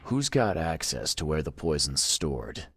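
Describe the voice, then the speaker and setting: Very deep, husky private eye. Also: male voice, big pitch swings, a little slow, a bit bright, exaggerated voice. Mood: probing. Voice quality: husky, gravelly low voice. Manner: laconic, wry questioning. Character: gruff, world-weary male private investigator, wry. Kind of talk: video game dialogue acting.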